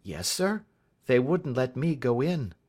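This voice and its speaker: A man's voice: medium pitch, sing-song, slow, darkish tone, pitched above the performer's own voice. A man reading aloud, voicing a nervous, polite teenage schoolboy who answers a teacher hesitantly. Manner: hesitant, polite, saying sir.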